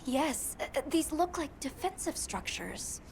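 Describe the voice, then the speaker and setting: An actress playing an earnest young guild field handler in a video game, brisk, precise and professional. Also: female voice, high voice, very animated, slight background noise, full character voice. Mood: alert, concerned. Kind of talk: video game cutscene acting.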